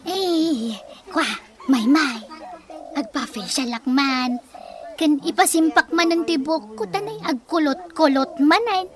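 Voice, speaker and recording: A woman's voice: high voice, takes it slowly. A woman acting a brash, scheming mother who wheedles and teases in an Ilocano-accented Filipino comedy radio drama. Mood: teasing, wheedling. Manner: wheedling, teasing. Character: brash scheming mother sweet-talking her creditors.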